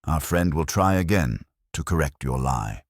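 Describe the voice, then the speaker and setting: A man voicing an imperious, cerebral detective in an audiobook, speaking formally and deliberately. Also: extremely low pitch, animated, no echo, studio quality.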